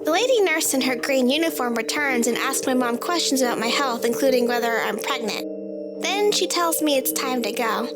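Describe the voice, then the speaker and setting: A woman's voice: high-pitched, animated, moderate pace. A woman reading a first-person story in the voice of a child, with a childlike, matter-of-fact manner. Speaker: story narrator. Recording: loud music bed under the voice. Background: music.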